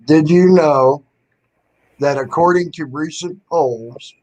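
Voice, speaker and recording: Male stream host, slightly high voice, profane, opinionated, rambling delivery. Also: takes it slowly, darkish tone, low-quality recording. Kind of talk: casual livestream chat.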